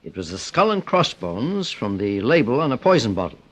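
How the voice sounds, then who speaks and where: An American man playing a famous private investigator in an old radio drama, speaking in a formal, measured, authoritative way. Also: mid-range voice, sing-song, fairly bright tone. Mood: grave, accusing. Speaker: actor in radio detective serial. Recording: muffled old radio recording.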